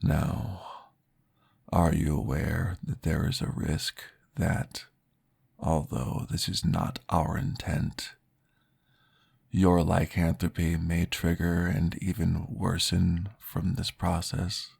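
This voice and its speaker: Deep, gravelly, slow-spoken vampire therapist, formal and reassuring, in an ASMR roleplay, voiced by a man. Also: little pitch movement, a little mellow, exaggerated voice.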